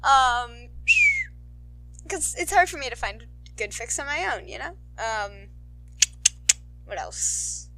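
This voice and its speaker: Amateur female narrator for fan fiction, bright high voice, informal and expressive delivery. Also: takes it slowly, long pauses, slight background noise. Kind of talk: animated fan fiction narration, casual asides.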